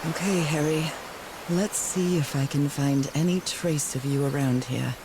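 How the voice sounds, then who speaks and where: A woman's voice: low-pitched, measured pace, noisy background. An actress playing a determined 1930s wife searching for her lost husband in a game, wistful and reflective, as if talking to herself. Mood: wondering, searching. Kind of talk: dramatic first-person game narration.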